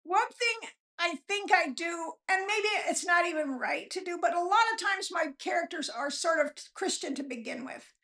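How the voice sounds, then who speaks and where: A woman's voice: extremely high pitch, lively intonation, moderate pace, pushed and bright. A woman chatting casually as an interview guest, rambling through stories in a folksy, self-deprecating way. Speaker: author being interviewed, guest. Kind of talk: casual, rambling storytelling chat.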